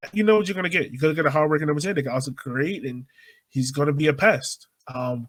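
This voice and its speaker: A man's voice: on the high side, pushed and bright. A man hosting a sports podcast, talking casually and informally in a conversational way. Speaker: podcast host.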